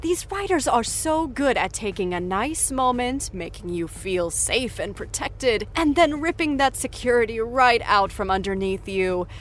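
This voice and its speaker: A woman's voice: fairly high pitch, lively intonation, measured pace, few pauses, noisy background. A woman hosting an after-show in a playful, theatrical, teasing talk-show style.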